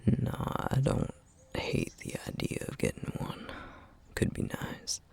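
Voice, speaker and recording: A man playing a tender, teasing single-dad boyfriend in an intimate ASMR roleplay, with a husky, half-whispered, affectionate murmur. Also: slow, lightly acted. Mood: gentle, hesitant. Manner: soft, hesitant, affectionate murmuring with soft chuckles. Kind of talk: ASMR roleplay, intimate one-sided dialogue to listener.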